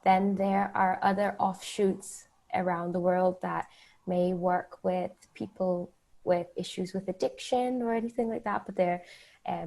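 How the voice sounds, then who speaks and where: A woman from the Bahamas with a mid-range voice, talking as a guest in a casual interview. She tells personal stories in an animated, conversational way. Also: mid-range voice, creaky.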